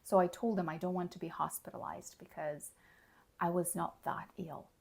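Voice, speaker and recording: Hoarse raspy english teacher lady, talks slow, explains every word. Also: fairly low pitch, fairly even intonation, darkish tone. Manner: explains words plainly, checks in with "okay?" and "all right?". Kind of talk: slow, clear teaching monologue.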